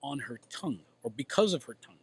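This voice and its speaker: A man co-hosting a casual talk show from a bar, animated and jokey. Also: mid-range voice, quick pace, slightly rough. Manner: animated, jokey, slips into playful accents. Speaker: co-host.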